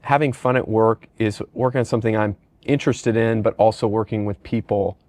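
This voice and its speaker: A man's voice: fairly low pitch, steady tone, brisk, darkish tone, no echo. A middle-aged man hosting a conversational podcast interview, casually asking questions and rambling through anecdotes. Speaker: podcast host, company leader.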